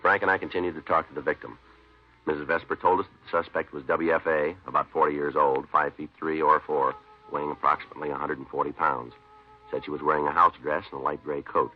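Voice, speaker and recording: An actor playing a laconic, deadpan American police detective with clipped speech in an old-time radio drama. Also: male voice, extremely low pitch, quick pace, faint music behind. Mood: matter-of-fact. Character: laconic, dry, unflappable male police detective. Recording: dull old radio recording.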